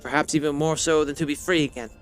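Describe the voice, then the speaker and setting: Kinda hoarse guy streaming from home, reads game text then rambles on, lotta ums and likes. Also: on the high side, steady tone, fast talker, faint music behind. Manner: rambling, self-correcting, heavy fillers. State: slightly hoarse. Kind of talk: reading game text aloud, then casual rambling chat.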